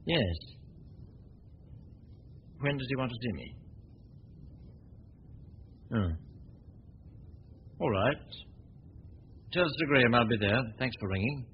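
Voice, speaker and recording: A suave, genial gentleman detective in a vintage radio serial. He talks in an easy, urbane way at a mid pitch. A muffled, faintly hissy 1940 recording. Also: slow, pauses often. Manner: relaxed, urbane, easy chuckles. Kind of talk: 1940s radio acting.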